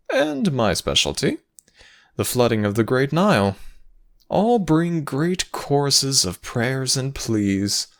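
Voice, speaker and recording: A man playing an urbane, theatrical storytelling god in an audio roleplay, speaking grandly and deliberately with dramatic pauses. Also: medium pitch, gravelly, fairly bright tone, exaggerated voice. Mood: lofty, wistful.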